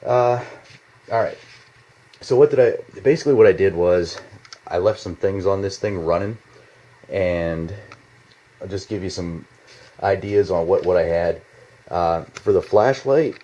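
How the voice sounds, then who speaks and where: Male amateur reviewer, deep register, informal and unscripted, meandering delivery, somewhat dull lo-fi basement recording. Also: dark tone. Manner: halting, rambling, informal with mild swearing. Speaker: product reviewer. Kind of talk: casual unscripted product demonstration. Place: indoors in a home basement. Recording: slightly dull, lo-fi home video sound.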